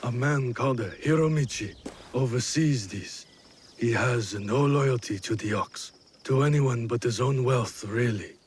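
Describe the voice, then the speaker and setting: An actor playing a grave, weary and cautious samurai strategist in a video game, speaking in a measured, solemn manner. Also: male voice, medium pitch, slight background noise, close to an everyday voice. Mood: measured, wary. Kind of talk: dramatic video game cutscene acting.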